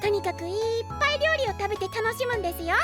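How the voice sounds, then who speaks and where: A cute little boy knight, extremely high and childlike. He talks politely and eagerly in anime-drama style over clearly audible tinkling music. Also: expressive, rapid-fire. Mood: cheerful. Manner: polite, eager, childlike, refers to self by name. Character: small, cute, earnest, cheerful boy apprentice knight.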